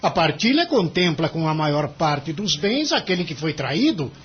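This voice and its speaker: Husky, animated, domineering Brazilian lawyer. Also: male voice, a little high, moderate pace, dull audio, full character voice. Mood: scornful, domineering. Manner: emphatic, condescending, theatrical delivery. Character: cynical, domineering, money-minded male senior lawyer. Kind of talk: audio drama acting.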